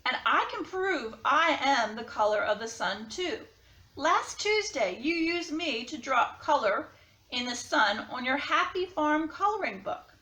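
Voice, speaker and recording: A woman voicing a boastful, bickering yellow crayon in a storybook read-aloud. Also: high-pitched, lively intonation, unhurried, reverberant, exaggerated voice. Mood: indignant, boastful. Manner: boastful, insistent. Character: proud, bickering yellow crayon writing a complaint letter.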